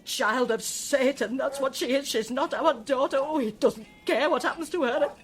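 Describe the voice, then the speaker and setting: A woman acting in a radio drama as a distraught, devout mother, speaking in a halting, emotional way. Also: high voice, a little hiss or hum, lightly acted. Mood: anguished.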